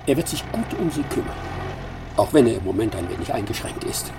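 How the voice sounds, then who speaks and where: An actor playing a self-assured, pompous English master detective in a radio drama, speaking formally and emphatically. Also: male voice, fairly low pitch, big pitch swings, fairly quick, vocal fry, background noise. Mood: triumphant.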